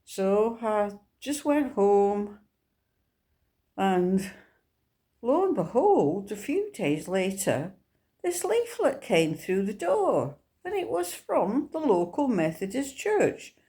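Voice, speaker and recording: Slow-spoken Scottish woman sharing testimony. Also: mid-range voice, big pitch swings, warm tone. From Scotland. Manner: earnest, unhurried storytelling. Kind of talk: personal faith testimony.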